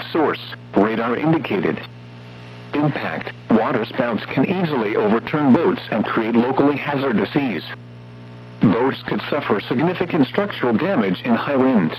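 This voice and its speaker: An American man reading formal weather warning bulletins as a radio announcer, in an impersonal, synthetic-sounding delivery. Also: medium pitch. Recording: muffled, noisy radio broadcast with hum.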